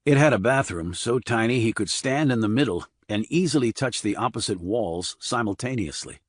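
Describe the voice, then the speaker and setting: A man reading a scripted crime thriller as an audiobook narrator, measured and restrained. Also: on the low side, lively intonation, husky, no echo, studio quality.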